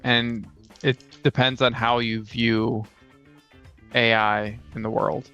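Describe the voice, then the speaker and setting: A man co-hosting a casual chat about tabletop gaming, explaining his views in a measured way. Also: medium pitch, flat delivery, pushed and bright, clear, resonant tone, soft background music. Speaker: podcast co-host, game master and player. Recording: slightly dull lo-fi sound.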